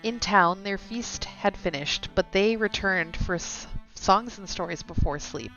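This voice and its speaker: A woman's voice: mid-range voice, a little slow, fairly bright tone. A woman live-streaming a game, reading its story text aloud with some stumbles and chatting to viewers in asides. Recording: dull lo-fi sound with music behind. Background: game music.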